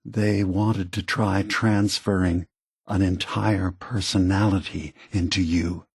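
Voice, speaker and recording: An actor playing an ancient synthetic android leader in a video game, calm, sorrowful and earnest, with measured, deliberate delivery. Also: male voice, fairly low pitch, breathy edge, no echo. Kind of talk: scripted video game dialogue.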